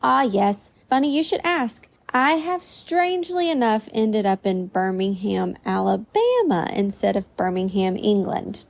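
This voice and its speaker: A woman's voice: medium pitch, a little slow, creaky, few pauses. A woman playing a female AI voice assistant, speaking politely and evenly. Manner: polite, even, informative. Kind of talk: comedy podcast sketch.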